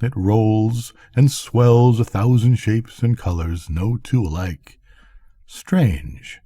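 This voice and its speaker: A man's voice: fairly low pitch, slow, warm tone, dry recording, clean studio sound. A man voicing a musing, folksy, lonely lighthouse keeper in a bedtime storybook reading. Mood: musing wonder. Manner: thoughtful, folksy.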